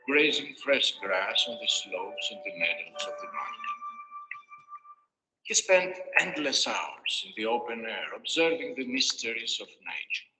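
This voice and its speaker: A man's voice: mid-range voice, bright, forceful tone. A man narrating a scripted fairy tale as a storyteller, with a dramatic storybook delivery. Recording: echoey reverberant playback with music. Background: music.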